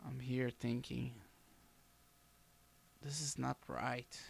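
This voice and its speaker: A man's voice: mid-range voice, level tone, takes it slowly, gravelly, husky. A man giving casual let's-play commentary as a game streamer, reacting in muttered, repetitive remarks. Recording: game music behind voice. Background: video game music.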